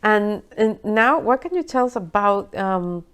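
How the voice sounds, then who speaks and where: A woman's voice: medium pitch, measured pace, a crowd in the background. A woman interviewing a guest, asking questions and giving frequent murmurs of agreement. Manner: steady back-channeling, prompting questions. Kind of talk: casual interview.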